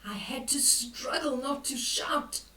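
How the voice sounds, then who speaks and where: An older woman reading a picture book aloud to children, expressive and dramatic, with hushed whispers and exclamations as she comments on the pictures. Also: high-pitched, slow. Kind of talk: bedtime read-aloud with asides on the pictures. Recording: roomy echo, distant from the mic.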